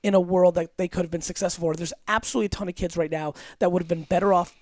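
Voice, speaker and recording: A man as a guest on an interview podcast, talking about business in a blunt, emphatic, rapid-fire way with some profanity. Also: high-pitched, steady tone, darkish tone, dry recording, studio quality. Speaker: entrepreneur guest.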